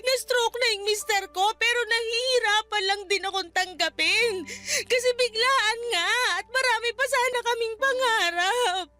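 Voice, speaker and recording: Very high, tearful young Filipina wife. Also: female voice, normal speed, bright, forceful tone, soft background music. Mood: tearful, afraid. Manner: pleading, tearful. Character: distraught young wife and mother, respectful but wounded daughter. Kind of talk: Filipino radio drama acting.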